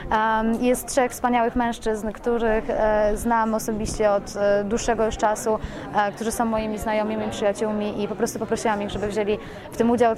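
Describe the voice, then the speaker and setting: Higher-voiced effusive woman amid crowd chatter. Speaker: radio play producer being interviewed. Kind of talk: spontaneous interview talk. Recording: busy crowd chatter behind voice. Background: crowd at a public event.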